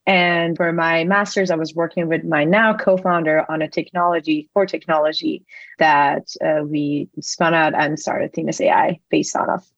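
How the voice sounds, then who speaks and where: A woman's voice: low-pitched, steady tone, moderate pace, no room sound. A young adult woman who grew up in Iran, a company CEO answering interview questions in a loose, explanatory way, with many fillers and self-corrections. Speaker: podcast guest, company CEO. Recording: dull lo-fi sound.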